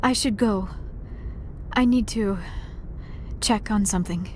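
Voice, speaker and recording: Woman playing a gentle, formal alien scientist. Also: mid-range voice, slow, slight background noise, lightly acted. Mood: regretful. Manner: formal, precise speech without contractions. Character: gentle, earnest young female alien scientist. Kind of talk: video game dialogue acting.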